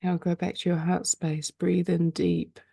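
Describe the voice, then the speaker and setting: A woman leading a guided meditation in a calm, soothing way, speaking slowly with frequent pauses. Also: lowish voice, creaky, slightly rough, no room sound, clean studio sound.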